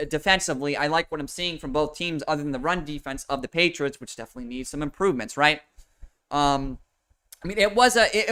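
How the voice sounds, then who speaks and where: A young man with a nasal, fairly high voice that comes across sharp and forceful. He rambles through casual live football commentary, swearing freely and filling gaps with ums and restarts, each word smooth and crisp on a desk mic. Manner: rambling and profane, with many fillers and restarts. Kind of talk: casual livestream sports commentary. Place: home room with a fan. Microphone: desk microphone with noise suppression.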